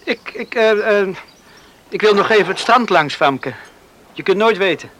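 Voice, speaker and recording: A man's voice: high voice, animated, unhurried, a bit bright, a little hiss or hum. An actor playing a restless young man in a Dutch radio play, stammering a little when challenged. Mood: evasive. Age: young adult. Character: restless young man craving freedom, talks to his dog.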